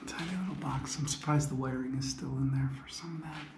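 A man's voice: medium pitch, steady tone, fast talker. A young man narrating casually as he walks through an abandoned building, pointing things out as he goes. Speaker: urban explorer host. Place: large abandoned building, indoors, echoey. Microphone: body-worn action camera. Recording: echoey empty rooms, noisy background.

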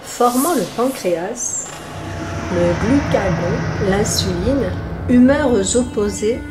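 A woman reciting an epic poem in a solemn, measured declamation, phrase by phrase. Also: fairly high pitch, expressive, heavy background noise. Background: loud music.

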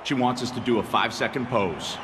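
A man's voice: mid-range voice, fairly quick, close to an everyday voice. An actor playing a cocky, theatrical champion wrestler in a video game, talking in slangy showman style. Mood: cocky, playful. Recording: noisy arena ambience behind voice.

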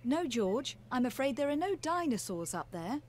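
A woman playing a kind, sensible mother pig in a children's cartoon game, gentle and explanatory. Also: high-pitched, very animated, moderate pace, a little hiss or hum. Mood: kindly.

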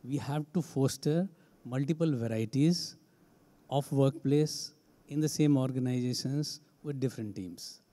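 A man's voice: medium pitch, a little slow, dark tone. A man with an Indian English accent speaking emphatically on a conference panel as an HR head, into a handheld mic on a large stage. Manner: emphatic, repetitive phrasing. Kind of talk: panel discussion answer.

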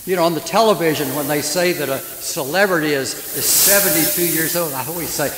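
A man in his seventies preaching a sermon, with a somewhat high voice for a man. He tells stories gently and asks the congregation questions as he goes. His voice rings through a long church reverb, with clear hiss underneath. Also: a little fast. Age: 70s. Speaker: priest. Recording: echoey church hall with hiss.

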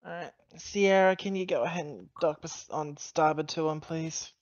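A woman role-playing casually at a tabletop game, talking in a low-key, weary manner. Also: steady tone, moderate pace, dry recording, muffled recording. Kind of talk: casual in-character chat.

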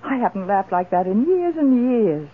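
An elderly woman in a seventies radio play, tipsy, wistful yet resolute. Her delivery is theatrical and swings widely in pitch, on a muffled old broadcast recording. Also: very animated, normal speed. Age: older. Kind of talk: 1970s radio drama acting.

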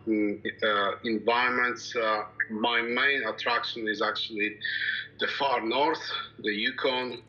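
A middle-aged man from Poland with a Polish accent, a native Polish speaker, reflecting haltingly on his work as a painter. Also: lowish voice, pushed and bright, dull audio. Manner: halting, searching for words. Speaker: landscape painter, interviewee. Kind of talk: reflective personal account of working methods.

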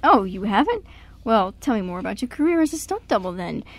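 A woman playing an excitable, old-fashioned male theater director in an amateur radio play. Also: mid-range voice, a little fast, dry recording. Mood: friendly, curious.

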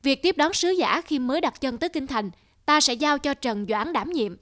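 A woman's voice: sits high, moderate pace, a bit bright. A woman voicing a dignified, commanding king in a cartoon folktale. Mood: solemn.

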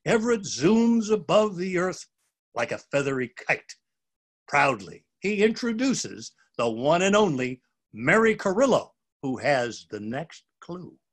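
Male storybook narrator, expressive and theatrical, very varied pitch. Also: unhurried. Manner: expressive, dramatic delivery. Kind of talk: animated children's storybook narration.

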